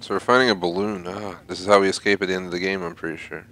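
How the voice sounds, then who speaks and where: A man's voice: deep voice, fairly even intonation, rapid-fire, bright, forceful tone, slight background noise. A young man giving casual commentary while playing a video game. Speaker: gamer doing a playthrough. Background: game sound and music.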